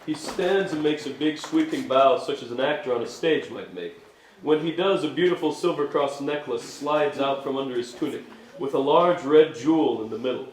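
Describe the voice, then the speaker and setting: A man's voice: medium pitch, normal speed, a little hiss or hum. Echoey male stage narrator. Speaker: narrator reading stage directions. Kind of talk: scripted dramatic narration. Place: indoor stage at an arts venue.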